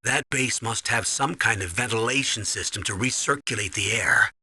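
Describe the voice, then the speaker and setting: Stern, hard-edged military commander. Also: male voice, fairly low pitch, moderate pace, sharp tone, husky, heavily acted. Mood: instructive, watchful. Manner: authoritative, urgent briefing. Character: stern older male military commander guiding a soldier. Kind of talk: video game voice acting, tutorial instructions.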